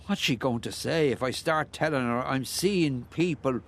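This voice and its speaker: An actor playing a chatty, nosy older Irish ex-bricklayer who rambles and muses in a radio play. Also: male voice, medium pitch, moderate pace, slight background noise, close to an everyday voice. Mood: rambling, bemused. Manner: garrulous, rambling, musing, with hesitations.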